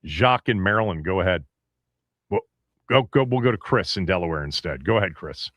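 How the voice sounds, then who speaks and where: A man's voice: deep voice, expressive, fairly quick, fairly bright tone, dry recording. A man hosting a sports call-in radio show, taking calls and pressing callers with pointed questions. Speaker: radio sports talk host.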